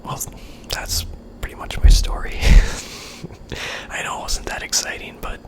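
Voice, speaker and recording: A young adult vlogger telling a story in a rambling whisper, sounding tired and soft-spoken, with lots of fillers. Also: takes it slowly, low-quality recording.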